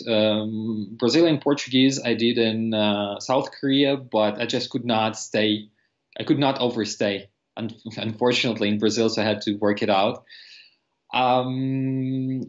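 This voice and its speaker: A young man whose first language is Russian, a language teacher speaking earnestly on a video call with many fillers and restarts. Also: on the low side. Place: room with teal wall. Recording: dull lo-fi video call audio.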